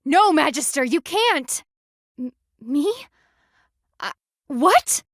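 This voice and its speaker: A proud, dutiful female panda warrior for a video game. Her voice sits fairly high and is recorded dry and close, with no room around it. She speaks in formal, clipped phrases with a haughty, sardonic edge and a stern temper. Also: sing-song, slow, no room sound, heavily acted. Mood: urgent, alarmed. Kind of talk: video game character voice lines.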